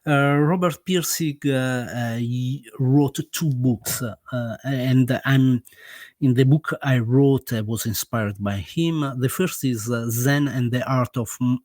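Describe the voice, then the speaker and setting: An Italian-accented man speaks as an interview guest, stopping and restarting often with plenty of filler sounds. His voice sits at an ordinary middle pitch. The sound is dry and close, with no room around it. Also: takes it slowly, darkish tone, dry recording. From Italy. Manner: hesitant, many fillers and restarts. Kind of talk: conversational interview.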